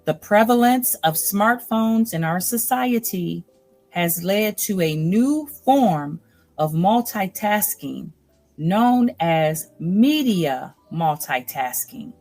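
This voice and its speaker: A woman host reading nonfiction aloud in a deliberate, earnest, instructive manner. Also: mid-range voice, animated, darkish tone. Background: music bed.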